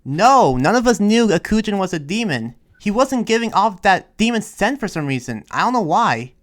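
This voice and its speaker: A man playing a flustered young demon slayer in improvised roleplay, halting and exasperated as he repeats himself and defends his side. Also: sits high, big pitch swings. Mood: urgent, defensive. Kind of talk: improvised in-character roleplay dialogue.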